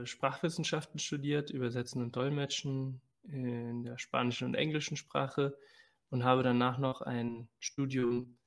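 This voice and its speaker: A man talking conversationally as a guest on a podcast. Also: medium pitch. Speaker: podcast guest, agroecology worker.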